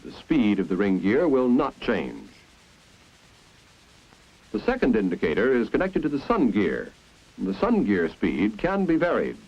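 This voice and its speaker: A man's voice: lowish voice, wildly swooping pitch, a little slow, long pauses, husky. An American man narrating a 1950s instructional film in a didactic, explanatory way. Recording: dull, muffled 1950s film soundtrack.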